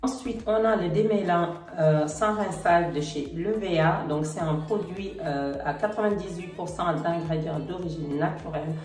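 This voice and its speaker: A middle-aged woman with a cold and a slightly congested voice, chatting casually to camera about products with a relaxed, hesitant manner. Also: fairly low pitch, normal speed, hardly any pauses. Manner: relaxed, hesitant, many fillers. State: has a cold. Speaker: beauty vlogger. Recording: roomy, slightly distant, faint music.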